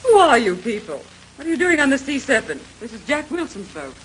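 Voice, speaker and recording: A dismissive, skeptical society wife in a vintage radio mystery. She speaks curtly in a highish, very mobile voice. The old transcription adds faint noise. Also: big pitch swings, fairly quick, fairly bright tone, low-quality recording. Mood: indignant, skeptical. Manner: curt, haughty. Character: haughty, skeptical married woman, curt and dismissive. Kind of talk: 1930s radio mystery acting.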